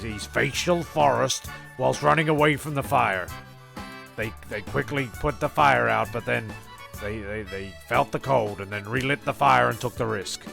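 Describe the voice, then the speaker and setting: A man hosting and narrating an improvised comedy, halting and rambling as he goes. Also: mid-range voice, creaky, sharp tone. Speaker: host and narrator.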